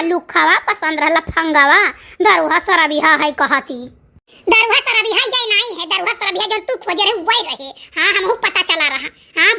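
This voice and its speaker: A performer voicing a sharp-tongued village woman in an Awadhi-accented cartoon comedy, emphatic and given to repeating phrases. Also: very high-pitched, exaggerated voice. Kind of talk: cartoon comedy dialogue in rural dialect.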